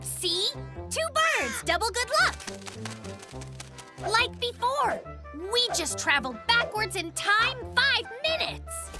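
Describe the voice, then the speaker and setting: A very high-voiced young fox cub in a kids' cartoon. The voice leaps and swoops, puffed up with theatrical self-importance, like a tiny detective narrating a big case. Music plays clearly behind. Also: very animated, takes it slowly, heavily acted. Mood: excited, boastful. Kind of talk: children's cartoon voice acting.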